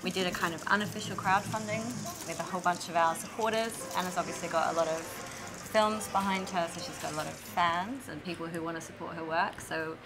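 A woman, a film producer giving measured, matter-of-fact interview answers. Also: fairly low pitch, fairly even intonation. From Tasmania, Australia. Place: seated indoors in a room with a leather sofa. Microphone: lapel mic.

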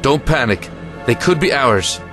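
A man's voice: mid-range voice, expressive, a little slow, fairly bright tone, soft background music. A man playing a firm, protective army officer in a war audio drama, giving clipped orders. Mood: firm command.

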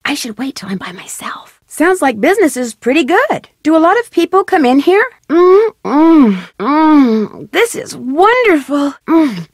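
A curious, upbeat young woman detective from a mystery game. She muses aloud in a chirpy, inquisitive way, her high voice bouncing around a lot. The sound is dry and close. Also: very animated, a little slow, hardly any pauses, no echo, full character voice. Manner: chirpy, inquisitive self-talk. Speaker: game protagonist. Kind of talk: game voice lines.

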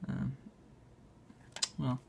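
A man narrating a casual hands-on tutorial in a halting, unscripted way, thinking aloud as he works. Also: dark tone, a little hiss or hum. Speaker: video maker demonstrating a repair. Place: indoors at a tabletop.

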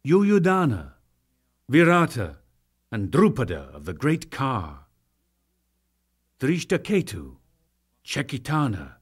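A man's voice: fairly low pitch, husky. A man giving a solemn, declamatory dramatic reading of ancient sacred verse as an audiobook narrator.

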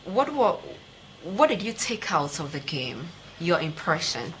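A woman's voice: lowish voice, very animated, a little slow, a bit bright, slight background noise, rough recording. A young woman with a Ghanaian accent hosting a TV sports interview, asking probing questions conversationally. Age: young adult. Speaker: sports show host, interviewer. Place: TV studio, seated at a desk.

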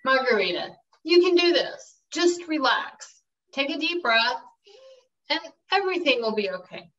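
A woman's voice: high voice, sing-song, a little slow, a bit bright, exaggerated voice. A woman playing a polite, dutiful assistant. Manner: deferential, polite. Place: room with wooden door. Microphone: webcam. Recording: distant, dull webcam sound.

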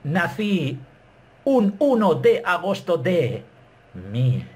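A Spanish man in his thirties with a Castilian accent, talking to his webcam in a small bedroom, animated and self-mocking. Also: a little high, extremely slow, slightly rough. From Spain. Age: 30s. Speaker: YouTuber. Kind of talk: casual vlog to camera.

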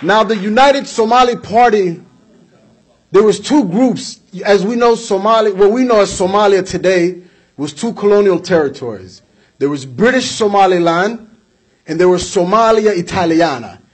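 A man's voice: high voice, moderate pace, breathy edge. A young American man giving an emphatic political speech at a podium microphone in an indoor hall, hammering his points home through repetition. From United States. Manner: emphatic, repeats points for effect, gestures while speaking. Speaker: speaker at a community event. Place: indoor hall with flags behind. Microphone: podium microphone on a stand.

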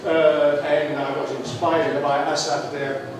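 A man at a workshop, speaking in a measured way to the group in a large conference room. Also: a little high, level tone, noisy background. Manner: measured, says he has a loud voice. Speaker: workshop participant. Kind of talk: group discussion. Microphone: far room mic. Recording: echoey hall, far from the mic.

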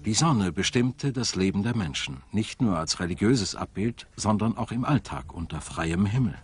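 Male documentary narrator with lively pitch. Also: moderate pace, slightly rough. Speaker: documentary narrator and voice-over translator. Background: background music.

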